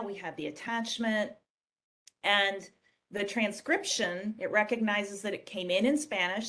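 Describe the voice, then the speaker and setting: A woman presents a software demo with a medium-pitched voice. Her delivery is conversational and explanatory, like someone casually guiding viewers through a shared screen.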